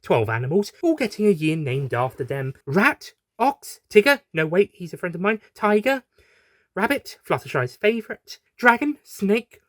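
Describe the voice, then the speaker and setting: Bubbly, slangy young alien heroine for an audio story, played by a man, high-pitched and swooping, cheeky and sarcastic. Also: big pitch swings, moderate pace, a bit bright, dry recording, studio quality. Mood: chirpy. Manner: bubbly, rambling, slangy and teasing. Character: hyperactive, cheerful, sarcastic young female time-travelling alien.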